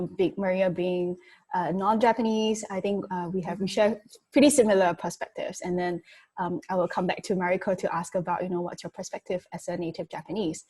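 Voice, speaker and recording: Husky-voiced woman moderating a panel. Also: mid-range voice, a little mellow. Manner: friendly, uses fillers. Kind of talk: online panel discussion.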